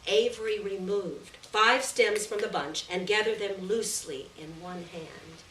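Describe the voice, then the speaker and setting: A woman reading a literary short story aloud in a measured, deliberate way, with pauses between phrases. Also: medium pitch, a bit bright. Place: roomy venue at a live reading. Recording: echoey room, distant mic, background noise.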